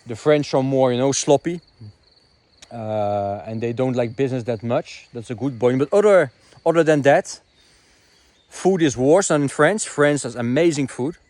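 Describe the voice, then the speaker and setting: A man's voice: mid-range voice, normal speed, darkish tone. A young man, born in the Netherlands and a Dutch native speaker, chats loosely outdoors in the countryside. He has a mid-range voice, his talk is full of "you know" and "uh", and the sound is close and dry with no echo. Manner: conversational, frequent fillers like "you know" and "uh". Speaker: vlogger. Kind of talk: casual vlog to camera.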